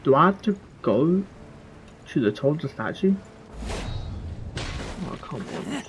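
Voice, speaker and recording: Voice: medium pitch, very animated, slow, a little hiss or hum. A gamer giving casual, offhand commentary on their own playthrough, rambling and muttering to themself. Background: game music.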